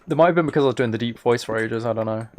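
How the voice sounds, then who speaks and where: A man casually chatting on a live game stream, thinking aloud in a relaxed way, close to the microphone. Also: medium pitch, racing, slight background noise. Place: indoors at a computer.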